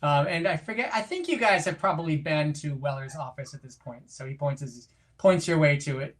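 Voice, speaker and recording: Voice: mid-range voice, lively intonation, a little fast. Conversational game master improvising narration. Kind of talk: improvised game narration and casual rules talk.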